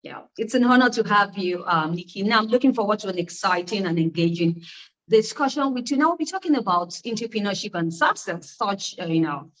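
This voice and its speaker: Woman host asking measured questions. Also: mid-range voice, animated, rough recording. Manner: measured, with frequent "um" fillers. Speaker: podcast host. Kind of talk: interview hosting.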